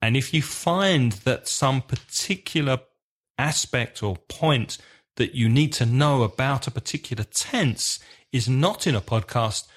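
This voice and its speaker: A man hosting an English lesson podcast, explaining carefully in a slow, clear teacher's manner. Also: medium pitch, expressive. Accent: British.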